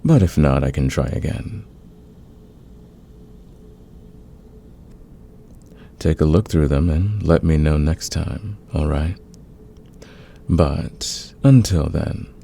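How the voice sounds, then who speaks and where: Man acting a very deep, seductive gravelly villain. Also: dark tone, exaggerated voice. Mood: confident, teasing. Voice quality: gravelly, husky. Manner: intimate, teasing, unhurried, confident. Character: smitten male fantasy villain, patient, dominant, smoothly seductive. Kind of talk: romantic ASMR audio roleplay.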